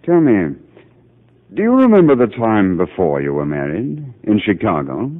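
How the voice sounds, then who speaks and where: An actor playing a calm, patient, authoritative psychiatrist who questions with gentle insistence, in 1950 radio drama style. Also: male voice, fairly low pitch, normal speed. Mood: gently insistent. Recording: muffled narrow-band 1950 radio broadcast.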